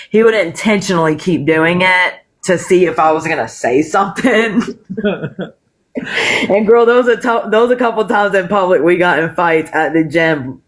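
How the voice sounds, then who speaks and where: A woman with a deep, smooth voice and a country twang, a guest talking candidly and swearing freely in a casual live chat. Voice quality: deep voice with bass; smooth, calming. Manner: candid, frequent swearing and laughter.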